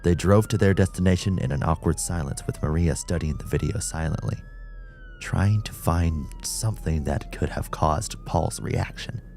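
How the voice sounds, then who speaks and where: A man narrating a scripted horror audiobook in a deliberate, suspenseful, dramatic style. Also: low voice, huge pitch swings, mellow, a little hiss or hum.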